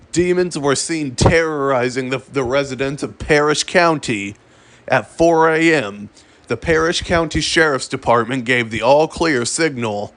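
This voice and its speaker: A man narrating a scripted emergency broadcast in a formal, solemn and deliberate manner. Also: medium pitch, a bit bright. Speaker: emergency alert announcer.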